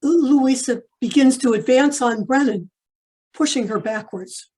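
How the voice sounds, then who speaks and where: A woman's voice: high voice, a little slow, dark tone, breathy edge. A woman reading stage directions as scripted narration.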